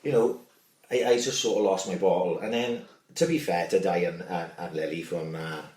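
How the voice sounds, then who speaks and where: A man's voice: low-pitched, steady tone, normal speed, a little mellow. A middle-aged man hosting a casual podcast, rambling through sweary stories. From Wales. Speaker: podcast host. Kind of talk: casual chat. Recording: echoey room, distant mic.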